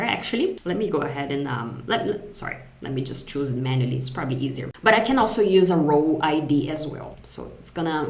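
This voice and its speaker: Tutorial presenter: woman, Brazilian accent, native Portuguese, slightly low pitch, conversational and explanatory, echoey room sound. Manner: conversational, explanatory, checks in with "right?" and "okay?". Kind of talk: casual step-by-step software tutorial.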